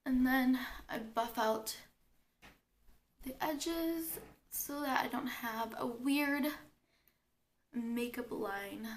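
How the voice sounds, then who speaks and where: A young woman with a cold and a sore throat, chatting her way through a makeup tutorial and explaining each step as she works. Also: on the high side. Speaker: beauty vlogger.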